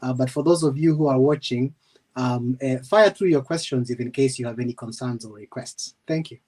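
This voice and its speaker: Polite, measured man, mid-range voice. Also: mid-range voice, darkish tone. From Western Province, Kenya. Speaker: guest, engineer and ex-serviceman. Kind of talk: conversational interview answers.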